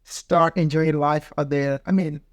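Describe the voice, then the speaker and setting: A man with a fairly high voice talks earnestly as a guest, halting now and then to find his words. His is Ndau. The recording is dry and close, with no room sound. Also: fairly even intonation, dry recording. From Mozambique. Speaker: guest, farmer and peace builder. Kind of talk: casual interview talk.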